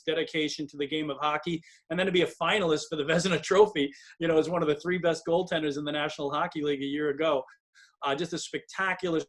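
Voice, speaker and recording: A man's voice: on the high side, fairly bright tone. A man working as a hockey broadcaster, giving emphatic, detailed and fluent sports analysis as an interview guest.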